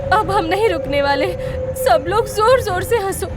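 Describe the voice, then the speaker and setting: Emotional young-hearted village wife, female actor, very high urgent voice, pleading yet determined, cartoon drama. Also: expressive, normal speed, loud music behind the voice. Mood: defiant. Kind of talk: Hindi cartoon story acting.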